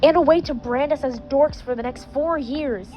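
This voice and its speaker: A woman's voice: high voice, normal speed, muffled recording, slight background noise, close to an everyday voice. A woman reading the snappy lines of a worried, image-conscious teen boy. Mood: annoyed. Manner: snappy, read in the reader's own voice.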